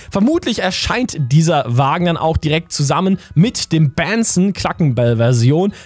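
Male YouTuber for game news: highish, husky, very animated, informal and hyped. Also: no room sound. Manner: informal, enthusiastic, addresses viewers directly. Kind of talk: hyped gaming news commentary.

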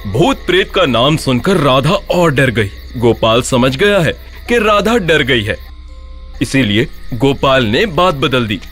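A man's voice: medium pitch, big pitch swings, measured pace, fairly bright tone. A man narrating a scripted story. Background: light music.

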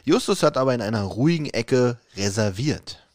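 A man's voice: mid-range voice, lively intonation, moderate pace, a little mellow, no echo, clean studio sound. A man in his forties co-hosting a podcast in casual, colloquial, bantering chat. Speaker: podcast co-host.

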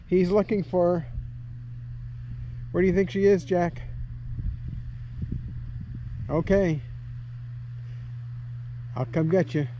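A man's voice: sits high, fairly even intonation, takes it slowly, pauses often, dark tone, slight background noise, poor audio. A man chatting casually and coaxingly to a dog as he films a vlog outdoors. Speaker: vlogger. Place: outdoors on a rural homestead.

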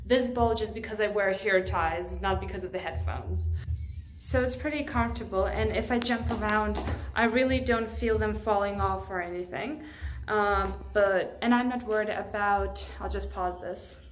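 A young adult woman gives an informal product review, thinking aloud as she talks to the camera. Her voice sits at a middle pitch. It was recorded at arm's length in a room, with clear noise behind her. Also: normal speed. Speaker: fitness vlogger.

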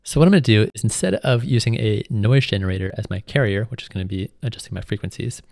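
Low, creaky-voiced male software instructor. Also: fast talker, no echo, clean studio sound. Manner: informal, step-by-step explaining. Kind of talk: tutorial narration, explanatory.

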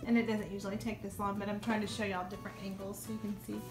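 A young woman talks in a loose, chatty way, full of ums and restarts. Her voice sits in an ordinary middle pitch and is recorded in a home kitchen. Music plays clearly underneath her voice. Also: little pitch movement, very fast. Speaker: home cleaning vlogger. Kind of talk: casual talk over a cleaning demo.

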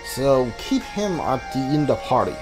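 A man's voice: medium pitch, very animated, unhurried, low-quality recording. A man giving casual gameplay commentary as a streamer, hesitant and thinking aloud as he goes. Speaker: video game streamer. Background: video game music.

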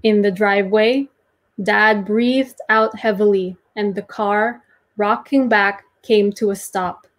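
Young woman, mid-pitched, very unhurried and deliberate, dramatic prose reading from a home room. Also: steady tone. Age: young adult. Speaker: performer reading a literary piece. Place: small domestic room, indoors.

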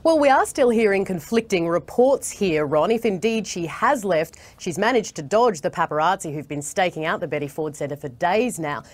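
Australian woman, thirties, TV correspondent, lowish pitch, live report and scripted read. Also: animated, moderate pace, few pauses. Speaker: TV news foreign correspondent. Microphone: lapel mic.

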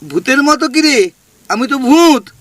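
An actor playing a mischievous male ghost in an audio drama, mocking and bossy in a cheeky drawn-out way. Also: extremely high pitch, animated, a bit bright, exaggerated voice. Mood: teasing.

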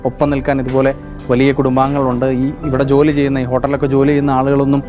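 Fast-talking male field reporter. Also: medium pitch, completely flat delivery, lo-fi sound, over music. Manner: rapid, unscripted piece to camera. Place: outside a restaurant. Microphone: handheld mic.

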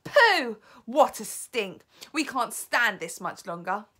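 A woman's voice: on the high side, big pitch swings, slow pace, sharp tone, husky, voice pushed up, full character voice. A woman voicing a crowd of spiteful witches who shriek shrilly and frantically in a storybook reading. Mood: disgusted.

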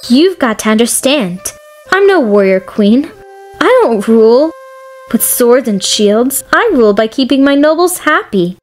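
A high, swooping young noblewoman acted by a woman, vivacious and hungry for gossip. She gushes playfully, like a fantasy game character, over background music. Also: big pitch swings, a little slow. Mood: gracious, playful. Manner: gushing, playful, theatrical. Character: vivacious, gossip-loving young noblewoman ruling a wealthy city. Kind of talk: fan dub voice acting of a fantasy story game. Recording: music behind the voice.

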